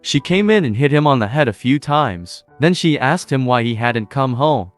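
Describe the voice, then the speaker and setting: Man narrating, scripted story, steady delivery, dry studio voice, faint music. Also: mid-range voice, normal speed, no room sound.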